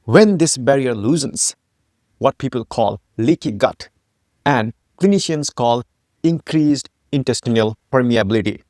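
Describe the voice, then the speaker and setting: A man's voice: medium pitch, animated, measured pace, darkish tone, dry recording, studio quality. A man from Nepal, founder and host of a health channel, giving a scripted educational narration with emphatic rhetorical questions.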